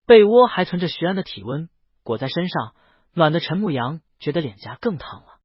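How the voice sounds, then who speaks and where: A man's voice: fairly high pitch, big pitch swings, fairly quick, breathy edge, no room sound, dull audio. A man narrating a scripted romance novel as an audiobook, reading close to the mic in a dry recording. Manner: reads character dialogue within the narration.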